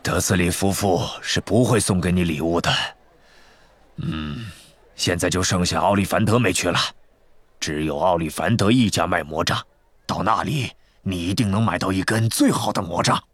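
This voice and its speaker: A man voicing a big, kindly, blunt giant of a man in a storybook, with a gruff, hoarse voice. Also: deep voice, moderate pace, no room sound, pitched below the performer's own voice. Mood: reassuring.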